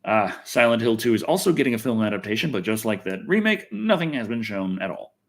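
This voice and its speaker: Animated American man chatting on a livestream. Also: lowish voice, fairly quick. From Texas, United States. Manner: self-deprecating, swears freely, thinks aloud. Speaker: livestream host and video reviewer. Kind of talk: casual rambling livestream chat answering viewers.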